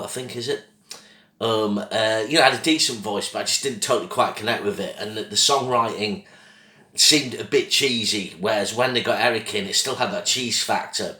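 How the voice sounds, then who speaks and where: A man hosting his own channel with a casual, rambling album review, his voice croaky from a throat infection, coughing now and then. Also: on the low side, sharp tone, roomy sound. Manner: rambling, loses track of names, apologetic.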